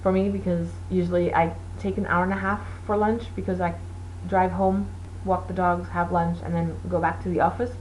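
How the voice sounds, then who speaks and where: Woman host, Portuguese native, low-ish voice, easygoing rambling delivery with faint background noise. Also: level tone, moderate pace, hardly any pauses, darkish tone, low-quality recording. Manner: rambling, chatty, many fillers. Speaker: knitting podcast host. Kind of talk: casual chat.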